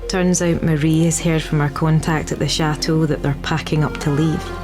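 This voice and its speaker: An actor playing a cool-headed sniper officer with dry, laconic remarks. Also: male voice, fairly high pitch, flat delivery, normal speed, natural voice. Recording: music behind.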